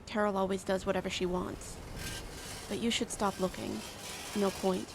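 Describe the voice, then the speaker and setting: A sullen, defeated daughter , played by a woman, speaks with heavy creak at a medium pitch. Her lines are terse and resigned, as radio drama, with faint traffic noise behind. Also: flat delivery, normal speed, close to an everyday voice. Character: sullen, defeated youngest daughter of troubled family. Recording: faint outdoor roadside noise.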